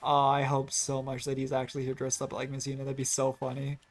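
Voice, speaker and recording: A woman's voice: rumbling low, monotone, fast. A woman giving casual live commentary as she streams a video game, musing aloud in a giggly, chatty way.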